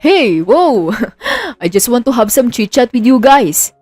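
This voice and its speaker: A woman's voice: fairly high pitch, very animated, takes it slowly, a little mellow, no echo, faint music behind, full character voice. Smirking, taunting young man, voiced by a woman. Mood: amused.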